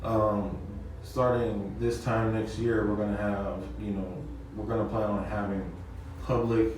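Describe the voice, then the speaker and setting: Fields: young man, low voice, echoey room. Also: a little slow. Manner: relaxed, hesitant, many fillers and restarts. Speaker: interview guest, media producer. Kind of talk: casual interview. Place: noisy event mixer, echoey room. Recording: echoey room, distant mic, crowd noise.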